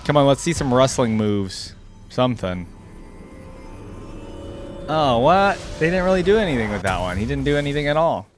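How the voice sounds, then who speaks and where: A man's voice: medium pitch, sing-song, normal speed, pauses often, soft background music. A man giving casual reaction commentary as a gamer, thinking aloud in an informal way. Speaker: gamer reacting to game character skins.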